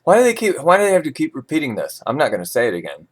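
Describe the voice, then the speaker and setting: A man's voice: a little high, huge pitch swings, very fast. A man reading financial news as an informal host, breaking into sarcastic, opinionated and folksy asides.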